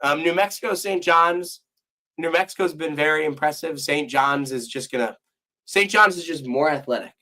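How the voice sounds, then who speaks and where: A hoarse young man co-hosting a casual sports podcast, losing his voice and restarting his sentences as he rambles on. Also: a little high, moderate pace, bright, forceful tone. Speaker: podcast co-host.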